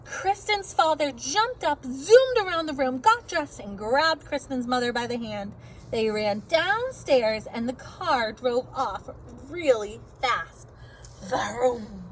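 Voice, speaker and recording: A woman reading a picture book aloud to children in a very animated way, drawing out words and sound effects and pausing to ask her listeners questions. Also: sits high, slight background noise, poor audio.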